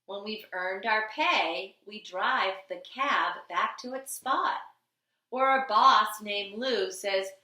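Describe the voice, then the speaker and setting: A woman reading a children's picture book aloud like a teacher, with an expressive, deliberate, sing-song delivery on the rhymes. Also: mid-range voice, roomy sound, poor audio. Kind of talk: animated children's storybook reading.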